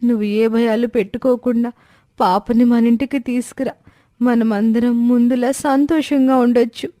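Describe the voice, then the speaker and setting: A woman voicing a gentle, pleading elder sister with an earnest, conciliatory manner in a family story. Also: fairly high pitch, steady tone, moderate pace, no room sound, studio quality, close to an everyday voice.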